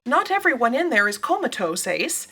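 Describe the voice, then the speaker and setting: A woman's voice: high voice, very animated, normal speed, sharp tone, heavily acted. A woman playing an unflappable, literal-minded sidekick, speaking precisely and formally in a comic sci-fi audio drama. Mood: informative. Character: literal-minded, unflappable female sidekick reporting scan results.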